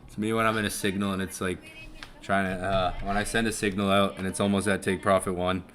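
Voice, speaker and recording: Bright-voiced young man rambling on a live stream. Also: deep voice, flat delivery, fairly quick. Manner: rambling, chuckling, swears casually, repeats himself. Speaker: live-stream trading host. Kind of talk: casual live-stream commentary.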